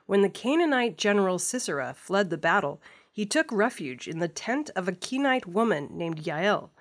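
A woman reading scripted news stories as the presenter of an audio news programme. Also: mid-range voice. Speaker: news reader.

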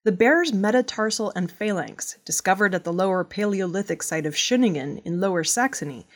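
A woman's voice: medium pitch, animated, brisk. A woman working as a news reader, narrating scripted news stories.

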